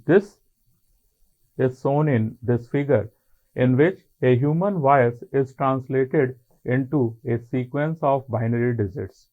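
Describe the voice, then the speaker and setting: A man with an Indian accent giving a technical college lecture in an explanatory way, in deliberate short phrases. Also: medium pitch. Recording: muffled, phone-like narrow band.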